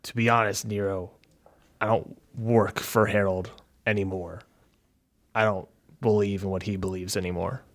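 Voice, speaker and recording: A man's voice: lowish voice, level tone, normal speed, pauses often. A man playing a tabletop game, talking casually and slipping into his character's lines in his everyday voice. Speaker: player in a tabletop game podcast.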